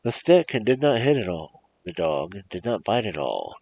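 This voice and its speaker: A man with a gravelly, husky voice hosting a storytelling show, reading fairy tales in a theatrical, emphatic, rhythmic way. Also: on the low side, big pitch swings, normal speed, darkish tone. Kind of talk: animated performed fairy-tale reading with casual asides.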